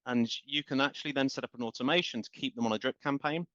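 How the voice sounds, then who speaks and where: A man's voice: medium pitch, fast talker, fairly bright tone, no echo. A man, a guest on a business interview, explaining with passion in long run-on sentences and repeated words. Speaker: guest, marketing company founder. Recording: dull, lo-fi.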